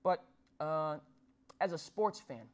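Male sports talk host in his thirties from New Jersey, slightly high voice, formal and halting with plenty of fillers, lapel mic in a small studio. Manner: formal wording with many fillers and restarts. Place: small studio with dark backdrop.

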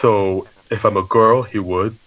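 Man posing as a low-voiced, halting hefty girl. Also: steady tone, phone-quality sound, noisy background, lightly acted. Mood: insistent, nosy. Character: hefty girl shopper, earnest and naively persistent. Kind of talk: prank phone call.

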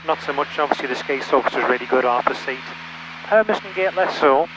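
A man's voice: mid-range voice, animated, a little fast. A man chatting casually as a passenger in a small plane, speaking through an aviation headset. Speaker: passenger, air traffic controller. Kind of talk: casual chat. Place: small light aircraft cockpit in flight. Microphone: aviation headset boom. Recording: muffled aircraft headset audio, engine noise.

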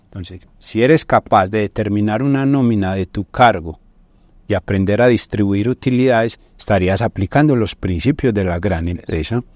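A man's voice: lowish voice, moderate pace, darkish tone, dry recording. A middle-aged man with a Colombian accent explains business finance as a podcast guest, in a didactic way with frequent tag questions. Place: indoor podcast studio. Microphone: lapel mic.

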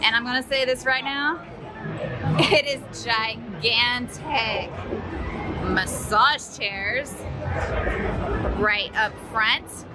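A woman's voice: a little high, drawn-out words, pauses often, loud music behind the voice, a loud crowd. A woman in her thirties, a mom vlogging casually as she tours a resort and points things out, filming herself at arm's length. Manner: chatty, upbeat, tour-guide pointing things out. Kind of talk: casual vlog narration. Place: outdoors at a resort and indoor arcade. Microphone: phone or camera held at arm's length.

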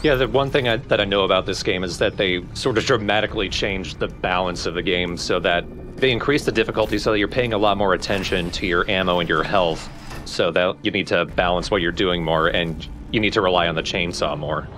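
A man's voice: deep voice, fairly quick, fairly bright tone. A man giving casual commentary as a co-commentator on a video game. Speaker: let's play co-commentator. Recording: game noise behind voice.

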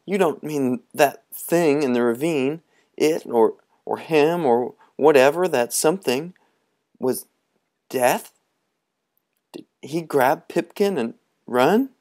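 A man voicing a group of excited, nervous young boys with eager, raised voices. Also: on the high side, takes it slowly, pitched above the performer's own voice. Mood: anxious.

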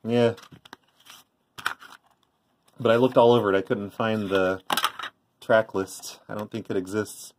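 A man's voice: lowish voice, fairly even intonation, a little slow, pauses often, background noise. A middle-aged man giving an offhand, rambling show-and-tell commentary in a casual way. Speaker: vlogger, former prank call tape trader. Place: indoors by a tape deck.

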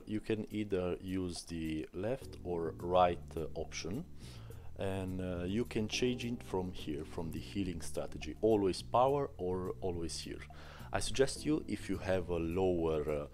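Deep-voiced man with halting speech. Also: darkish tone. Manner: halting, many "uh" fillers. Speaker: bot developer demonstrating software. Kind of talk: screen-recorded software tutorial.

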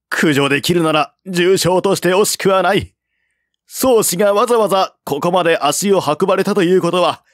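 A man acts out an earnest county magistrate from an old Chinese epic. The male voice is lifted higher and presses on urgently, yet stays stately and formal. It is a dry storybook recording. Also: no room sound, close to an everyday voice.

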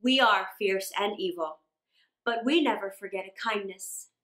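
A solemn young storybook wolf-man speaks with fierce command as a woman acts him out. The voice drops lower, and the room echoes around it. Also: unhurried, fairly bright tone, lightly acted. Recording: roomy indoor echo.

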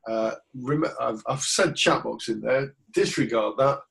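A man in his forties hosts a webinar over a video call from a small office, with a gravelly edge to his voice. He is getting over a cold and talks in a loose, friendly way with plenty of fillers. His pitch sits right in the middle. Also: a little slow, a bit bright. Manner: chatty, loose and informal, with fillers and restarts. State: recovering from a cold. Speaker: webinar host and coach. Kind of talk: webinar interview.

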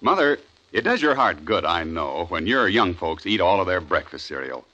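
A man's voice: sits low, very animated, normal speed, highs cut off, very noisy. An American man announcing a 1950s radio cereal commercial with chummy, emphatic salesmanship.

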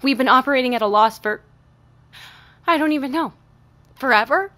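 Audio drama boss: overwrought, bitter woman, high-pitched, upset and tearful. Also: normal speed, sharp tone. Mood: distraught, angry. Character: stressed, bitter adult woman store manager. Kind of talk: naturalistic audio drama acting.

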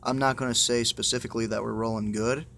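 A man's voice: mid-range voice, fairly even intonation, fast, vocal fry, fairly bright tone. A man giving casual, muttered let's-play commentary with exasperation and plenty of swearing. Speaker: gamer playing a horror game. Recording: noisy amateur phone screen recording.

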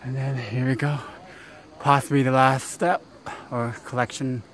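A travel vlogger talks casually and slowly in short remarks, at a middling pitch. The voice is caught on a handheld recorder outdoors, with clear ambient noise and insects around it. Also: flat delivery. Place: outdoors on a mountain temple stairway and summit. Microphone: handheld phone or camera held by speaker.

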